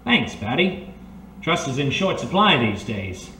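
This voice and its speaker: Actor as reformed-thief private eye, earnest, hesitant, animated intonation, radio noir, echoey room. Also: male voice, mid-range voice, a little slow, full character voice. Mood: wry, determined. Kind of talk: old-time radio detective drama acting. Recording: roomy, distant recording with faint noise.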